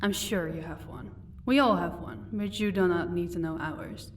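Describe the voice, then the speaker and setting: A woman's voice: on the low side, moderate pace, natural voice. A woman playing a powerful, commanding vampire lady in an audio roleplay, taunting and condescending with composure. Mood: cool, commanding. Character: powerful female vampire, mocking, commanding, married lady of an estate. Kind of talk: audio roleplay acting addressed to the listener. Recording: distant microphone, faint background noise.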